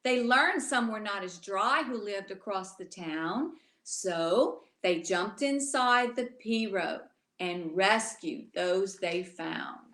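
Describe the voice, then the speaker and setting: A woman from Louisiana reading a bedtime story aloud to children in an expressive way, speaking directly to her young listeners. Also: medium pitch, slow, few pauses, roomy sound.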